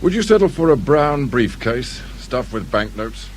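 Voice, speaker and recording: An actor playing a dry, businesslike, world-weary English police inspector in a British radio drama. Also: male voice, mid-range voice, big pitch swings, unhurried. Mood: wry, businesslike. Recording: faint noise behind radio drama recording.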